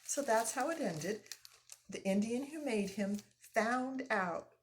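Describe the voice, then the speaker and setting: A woman reading a children's story aloud live, expressive and explanatory, with casual asides to listeners. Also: on the high side, slow pace, a little hiss or hum.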